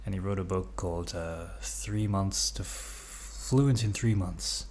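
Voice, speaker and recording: A young Englishman with a very low voice, talking slowly and hesitantly through his vlog. He pauses often and fills the gaps with ums. A faint hum of the room sits behind him as he stretches. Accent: English with Norwegian upbringing. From England. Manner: relaxed, hesitant, many ums and uhs. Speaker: vlogger. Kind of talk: casual vlog narration. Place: home room, while stretching.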